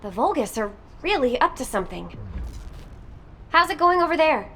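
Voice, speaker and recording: A woman's voice: high voice, sing-song, moderate pace, a bit bright, a little hiss or hum, full character voice. A woman playing a bubbly, impulsive and sentimental young sci-fi soldier in a video game, chirpy and playful. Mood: brisk, upbeat.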